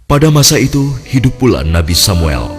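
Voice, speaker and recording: A man narrating a story in a solemn, measured way. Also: mid-range voice, big pitch swings. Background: music.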